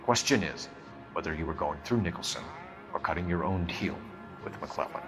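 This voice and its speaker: Low-voiced, businesslike young police detective. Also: male voice, expressive, normal speed, music in the background. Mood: probing, formal. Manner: clipped, formal questioning. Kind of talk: video game cutscene acting.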